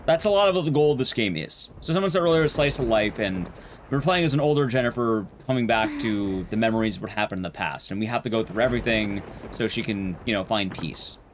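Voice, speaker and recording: A man's voice: lowish voice, animated, fast, slight background noise. A man hosting a livestream, chatting casually with viewers in informal slang, with emphatic, teasing commentary on a game. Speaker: livestream host.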